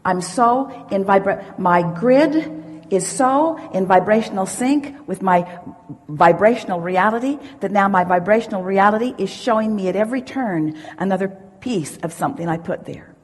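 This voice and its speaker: Long hall reverb surrounds a woman with a husky, breathy edge to her mid-pitched voice. She speaks as a spiritual teacher in an emphatic, deliberate style, building motivational points through repeated phrases. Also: expressive. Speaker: spiritual teacher answering audience questions.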